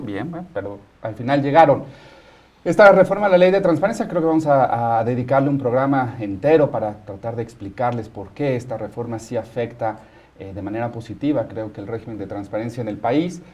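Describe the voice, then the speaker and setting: A male talk show host with a Mexican accent and a medium-pitched voice. He comments on the news informally and likes to tease. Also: animated, a little fast, darkish tone, muffled recording. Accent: Mexican, Mexico City. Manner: joking, teasing.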